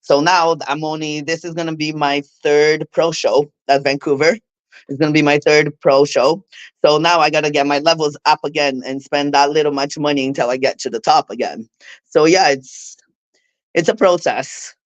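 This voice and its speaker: A woman's voice: low-pitched, steady tone, normal speed, no echo, highs cut off. A woman from Brazil with a Brazilian Portuguese accent, speaking candidly as a guest in a casual interview, laughing often. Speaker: guest, figure bodybuilder.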